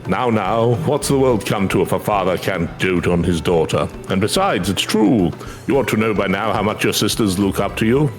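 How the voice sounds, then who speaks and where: A man's voice: lowish voice, animated, moderate pace, soft background music, natural voice. Man putting on a proud, doting catfolk dad. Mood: proud, fond. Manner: effusive, fond. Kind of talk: video game dialogue read aloud.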